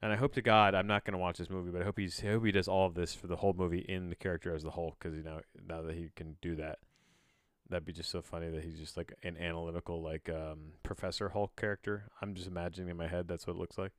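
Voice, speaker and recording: Male podcast host, lowish smooth voice, close dry sound, off-the-cuff and sardonic read-and-react delivery. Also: little pitch movement, fast talker, dry recording. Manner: rambling, incredulous, sardonic asides; casual swearing. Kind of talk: casual solo commentary while reading a plot synopsis aloud.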